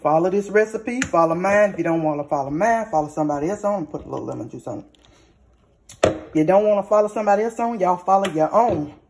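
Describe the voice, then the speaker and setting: A hoarse woman chatting through a cooking vlog, addressing viewers as y'all and savoring bites with lots of hums. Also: fairly low pitch, fast talker, background noise. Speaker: cooking vlogger. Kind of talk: casual cooking vlog with tasting. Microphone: phone or camera mic, recording alone in a kitchen.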